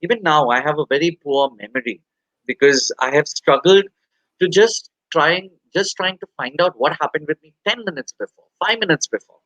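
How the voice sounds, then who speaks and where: A man from India in his forties, speaking candidly as an interview guest, halting and soft-spoken with many pauses and fillers. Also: on the high side, animated, dry recording, muffled recording.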